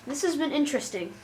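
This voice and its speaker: A woman's voice: sits high, fairly bright tone, slight background noise, poor audio. A woman giving casual, jokey commentary while playing a shooter game, often exasperated and talking to the characters on screen. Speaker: gamer.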